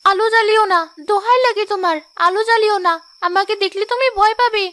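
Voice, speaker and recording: Woman playing a very high-pitched, theatrical young village wife. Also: fast talker, fairly bright tone. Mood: pleading, miserable. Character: vain, gullible young village wife, desperate to be fair-skinned. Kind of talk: Bengali cartoon moral drama voice acting.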